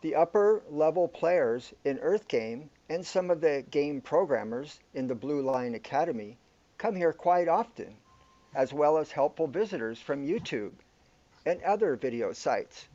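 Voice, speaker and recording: An actor playing a calm, all-knowing guide in a surreal sci-fi radio drama, explaining things in a formal, matter-of-fact way. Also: male voice, a little high, a little slow, highs cut off.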